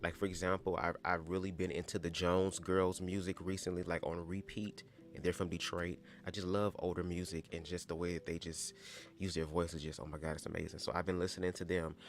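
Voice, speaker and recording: A young man with a very low, calm voice hosts a casual livestream from home, talking to viewers in a chatty, sassy way. Soft music plays faintly behind him. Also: brisk. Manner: chatty, sassy asides with "girl" and "baby". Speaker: livestream host. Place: home, squeaky old chair.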